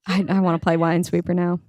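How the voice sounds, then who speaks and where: A woman's voice: lowish voice, level tone, brisk, a little mellow, no echo, studio quality. A woman taking part in a podcast chat, speaking informally and peppering her sentences with "like". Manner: informal, uses "like" often. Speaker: podcast participant. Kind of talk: casual chat.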